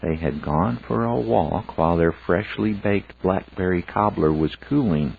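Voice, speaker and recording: A man's voice: sits low, a little slow, gravelly, slight background noise. A man reading a fairy tale aloud as a scripted storyteller narration. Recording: phone-like, narrow band sound.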